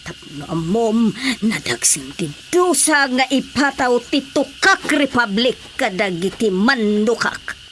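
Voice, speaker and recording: A woman's voice: on the high side, big pitch swings, takes it slowly, few pauses, fairly bright tone, a little hiss or hum, heavily acted. An actress playing a stern old grandmother frog who passes solemn judgement in a Filipino radio drama. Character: stern old grandmother of all frogs, mystical forest judge.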